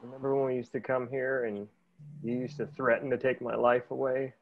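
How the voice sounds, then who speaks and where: Guy reading a sad mopey widower, gravelly, a bit muffled. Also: medium pitch, level tone, fairly quick, a little hiss or hum, close to an everyday voice. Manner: mopey, often breaking into laughs. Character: grieving young man, awkward and sad. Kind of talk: table read.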